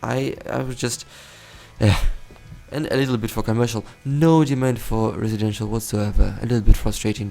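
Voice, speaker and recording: A man's voice: on the low side, vocal fry, mellow. A young man giving casual, rambling commentary over a city-building game, correcting himself and chuckling often. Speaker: let's play host.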